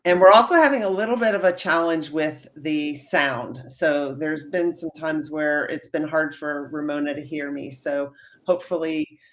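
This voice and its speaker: A woman's voice: deep voice, moderate pace. A woman in her fifties hosting a live stream interview, upbeat and chatty with frequent fillers, fighting a cold. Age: 50s. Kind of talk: casual interview chat.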